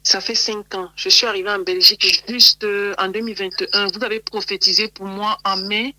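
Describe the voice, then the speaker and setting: A woman recounts her testimony in an earnest, steady way. Her voice is very bright and sharp, held in a narrow, flat melody. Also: medium pitch, moderate pace, dry recording. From Cameroon. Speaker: church member giving a testimony.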